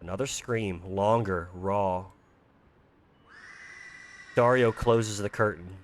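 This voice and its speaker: Low-voiced man narrating survival horror. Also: slow pace, slight background noise. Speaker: narrator and creator. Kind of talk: dramatic scripted horror narration.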